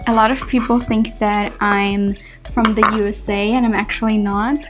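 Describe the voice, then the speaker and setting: A young woman from Switzerland, a native Swiss German speaker, chatting earnestly with soft laughs while carving a pumpkin in a Q&A vlog. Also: mid-range voice, level tone, normal speed, music in the background. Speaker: content creator.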